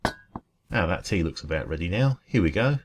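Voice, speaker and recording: A man playing a friendly, caring dragon who runs a cosy café, talking gently and reassuringly in an ASMR roleplay. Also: sits low, expressive, moderate pace, noisy background. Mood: cheerful, chatty.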